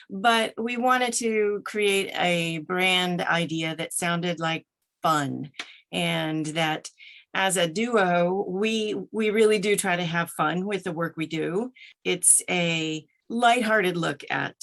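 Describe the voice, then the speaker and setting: A woman's voice: fairly low pitch, fairly bright tone. A middle-aged woman who narrates audiobooks, answering interview questions conversationally as a podcast guest.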